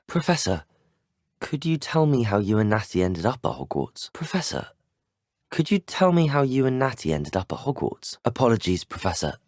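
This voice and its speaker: A man's voice: medium pitch, darkish tone, no room sound, studio quality. A young man with a British accent, acting as a polite, curious teenage wizard schoolboy in a video game, speaking in a courteous, formal, well-spoken way. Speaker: voice actor for a player character. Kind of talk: video game character dialogue.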